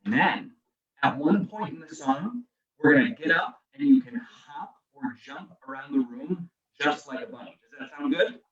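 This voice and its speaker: High-voiced man addressing children, distant dull recording. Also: sing-song, moderate pace, roomy sound, a crowd in the background. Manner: encouraging, addresses young children simply. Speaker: children's storytime guest musician. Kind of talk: children's singalong and friendly explaining. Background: acoustic guitar.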